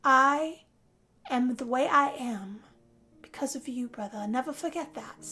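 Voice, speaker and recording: Female actor as loyal vampire sister, sardonic, blunt, fairly high voice, machinima drama dialogue. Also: unhurried, pushed and bright, breathy edge, soft background music, full character voice. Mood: wry, devoted. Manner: wry, blunt.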